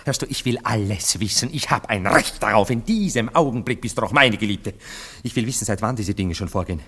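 An actor playing a jealous, agitated gentleman lover in a radio play, with an insistent, exasperated, melodramatic manner. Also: lowish voice, animated, brisk, slightly rough. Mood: demanding. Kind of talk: radio play acting, theatrical.

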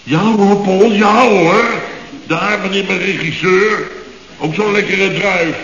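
A man's voice: high voice, slow, gravelly, crowd noise, exaggerated voice. An actor playing a blustering, sarcastic middle-aged contractor boss who grumbles and muddles his expressions in a radio comedy. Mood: indignant. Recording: dull, echoey old radio recording.

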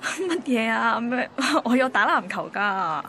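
A woman's voice: fairly high pitch, expressive, slow, pushed and bright, a faint crowd, slight background noise, exaggerated voice. An actress playing a bored, wistful young woman from a radio drama, with a Hong Kong accent. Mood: curious, hesitant. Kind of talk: radio drama dialogue and narration.

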